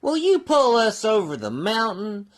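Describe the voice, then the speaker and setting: Woman as pleading little toys, slow and imploring. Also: fairly high pitch, sing-song, voice pushed up. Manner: imploring, drawn-out pleas.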